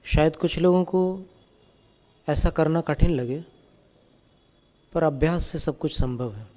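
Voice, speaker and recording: Slow, mellow male meditation guide. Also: on the high side. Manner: calm, gentle, unhurried step-by-step instruction. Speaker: Jain monk and meditation teacher.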